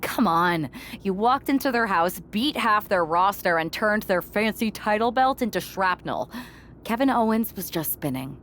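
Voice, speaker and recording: A mid-pitched actress as a female wrestler gives emphatic, encouraging lines in a video game cutscene. She sounds brash, with clear noise in the background. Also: lively intonation, normal speed, a bit bright, close to an everyday voice. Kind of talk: scripted game dialogue.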